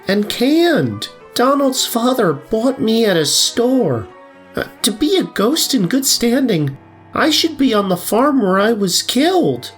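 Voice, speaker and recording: A woman as a slow, prim turkey ghost. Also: medium pitch. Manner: hesitant, wordy, formal. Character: ghost of a store-bought turkey, prim, fair-minded, formal. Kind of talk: audio drama acting of a children's story.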